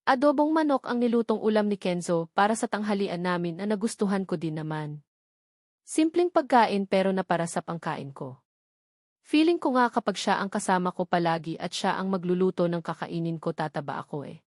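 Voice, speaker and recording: A woman's voice: lowish voice, animated, brisk, darkish tone, no room sound. A woman reads a romance novel in the first person as an audiobook narrator. Her delivery is scripted storytelling, recorded dry with hardly any room sound.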